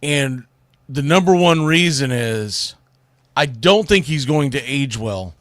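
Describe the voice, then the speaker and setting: A man's voice: mid-range voice, lively intonation, takes it slowly, a bit bright, dry recording, clean studio sound. A man hosting a sports radio show, giving blunt, opinionated, unscripted commentary with emphatic phrasing.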